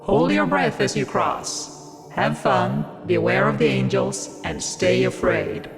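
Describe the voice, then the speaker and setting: Gravelly, echoing male God. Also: mid-range voice, wildly swooping pitch, slow, full character voice. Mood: ominous, playful. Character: God, a sly male deity sending mortals on a mission. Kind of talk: audio drama acting. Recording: long cavernous reverb over loud music.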